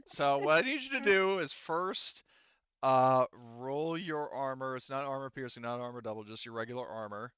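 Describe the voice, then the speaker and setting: A man's voice: mid-range voice, lively intonation, normal speed, a bit bright, clean tone, no room sound, highs cut off. A man talking casually and with some swearing as game master, explaining rules to players at a tabletop game. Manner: casual, profane.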